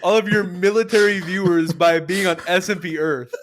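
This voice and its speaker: Young man, high animated voice, teasing and playful, faint background hiss. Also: normal speed. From southern United States. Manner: teasing, playful, reacts with mock outrage. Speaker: podcast co-host. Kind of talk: casual chat.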